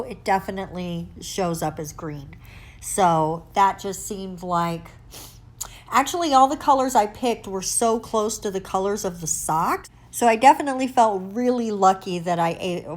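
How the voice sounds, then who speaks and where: A woman with a stuffy nose from allergies, hosting her nail art channel in an upbeat, chatty tutorial style. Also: medium pitch. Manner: chatty, upbeat, self-correcting. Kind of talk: casual tutorial chat.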